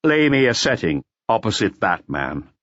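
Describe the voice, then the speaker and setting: A man's voice: fairly low pitch, sing-song, moderate pace, a bit bright, no room sound, highs cut off. A man voicing a stubborn, weary detective chief in an audiobook, terse and imperturbable. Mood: insistent.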